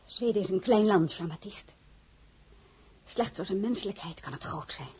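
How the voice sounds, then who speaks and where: An actress playing a proud, principled foreign-born crown princess in a radio drama, speaking with formal, deliberate dignity. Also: female voice, mid-range voice, darkish tone. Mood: earnest, resolute. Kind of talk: dramatized novel, radio play acting. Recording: clearly noisy recording.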